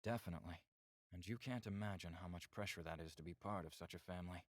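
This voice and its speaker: Game role: composed male alien, very deep, formal, measured delivery, dry studio sound. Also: no echo. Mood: calm, candid. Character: reserved, candid male alien lover from a conservative clan. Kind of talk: visual novel character acting.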